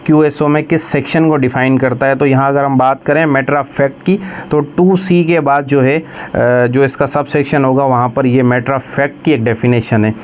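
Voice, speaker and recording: A man with a Pakistani accent giving an explanatory exam-prep lecture, slowing down to read questions deliberately and then explaining them. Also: mid-range voice, steady tone, a little hiss or hum. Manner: switches between Urdu explanation and slow, deliberate English reading. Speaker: lecturer for law exam preparation.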